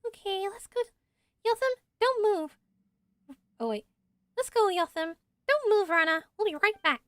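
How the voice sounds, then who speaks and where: A woman voicing a small, excitable fairy companion from a game, childlike and exclamatory. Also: very high-pitched, measured pace, pitched above the performer's own voice, exaggerated voice. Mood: urgent. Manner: speaks of self in third person, exclamatory. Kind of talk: fan voice-over of game dialogue.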